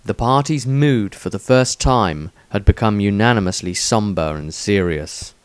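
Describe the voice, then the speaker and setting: A man reading his own fantasy story as dramatic scripted narration, in a measured, suspenseful storytelling manner. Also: on the low side, smooth, dry recording.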